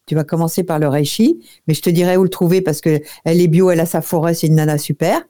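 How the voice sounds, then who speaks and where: A woman's voice: low voice, fairly even intonation, fast talker, mellow. A woman giving direct, familiar nutrition advice on a radio show. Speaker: nutrition expert guest.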